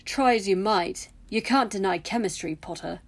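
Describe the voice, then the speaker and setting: A woman's voice: mid-range voice, normal speed, close to an everyday voice. A smug young wizard lad from a storybook, played by a woman reading aloud. He drawls his words in a snide, needling way, teasing and self-satisfied.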